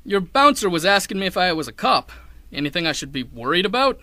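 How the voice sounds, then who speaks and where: A young man playing a hard-boiled, wry detective who narrates a 1930s noir radio drama in a deadpan way. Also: sits high, fast, no room sound, exaggerated voice. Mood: pushy. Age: young adult. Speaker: narrator and lead actor.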